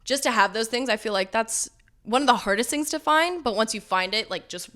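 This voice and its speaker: A young woman singer-songwriter with a low, slightly raspy speaking voice, chatting casually and giggly in an interview, full of "like" and quoted asides. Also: lively intonation, fairly quick, pushed and bright. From Los Angeles area. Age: young adult.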